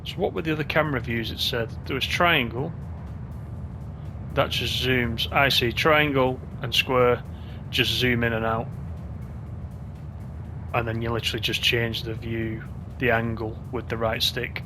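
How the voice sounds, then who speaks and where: A man provides casual commentary for a gaming video, chatting and thinking aloud as he plays. His pitch is middling for a man. Clear game music runs behind his voice. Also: steady tone, a little slow, pauses often.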